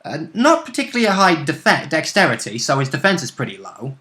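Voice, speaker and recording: A man's voice: a little high, wildly swooping pitch, a little fast, sharp tone. A man co-hosting a casual tabletop game chat, explaining rules and characters in an informal, speculative, rambling way. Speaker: co-host and player in a tabletop role-play series.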